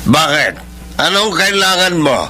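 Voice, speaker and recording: An actor playing an older, doting father in a radio drama, blunt and eager, with a gravelly voice and Filipino accent. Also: sits high, lively intonation, unhurried, bright, forceful tone, a little hiss or hum, heavily acted. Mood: scornful.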